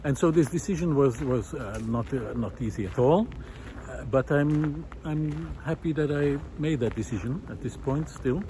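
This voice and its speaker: A man speaking as a vlogger in a reflective, unscripted monologue outdoors, with earnest, halting, hesitant phrasing. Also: fairly high pitch. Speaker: vlogger, former visual artist. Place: outdoors in a park. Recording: outdoor ambience with clear traffic noise.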